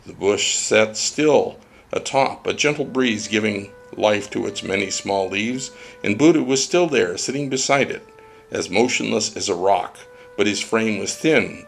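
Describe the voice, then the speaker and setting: A man with a gravelly voice narrating a scripted story as a storybook reader. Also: fairly low pitch, measured pace, soft background music.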